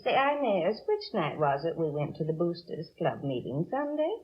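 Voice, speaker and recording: A woman's voice: lowish voice, huge pitch swings, normal speed, slightly rough, exaggerated voice. A woman playing a respectable, flustered store customer in a 1950s radio drama, speaking emphatically yet hesitantly. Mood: chatty. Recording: dull old radio recording.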